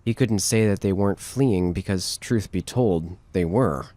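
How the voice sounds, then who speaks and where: A man's voice: deep voice, unhurried, warm tone, no echo. A man reading scripted narration for a horror audio drama.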